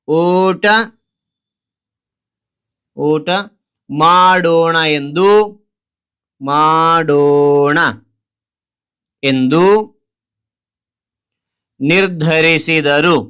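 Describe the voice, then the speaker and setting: A man teaching like a dictation lesson, reading out short phrases deliberately and repeating them word by word. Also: a little high, fairly bright tone, clear, resonant tone. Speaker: teacher presenting a children's writing lesson. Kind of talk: slow dictation-style lesson, reading out a story point by point.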